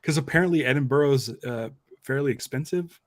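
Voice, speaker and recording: A man's voice: medium pitch, fairly quick, crowd noise. A man hosting a podcast in casual, conversational talk. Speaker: podcast host. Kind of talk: casual chat.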